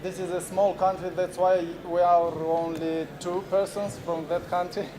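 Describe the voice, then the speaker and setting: A man in his forties from Moldova, a native Romanian speaker, presenting at a conference in halting English with many fillers and restarts. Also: sits high, level tone, noisy background. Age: 40s. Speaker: moderator. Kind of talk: unconference talk. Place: conference room with audience. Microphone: handheld camera recording.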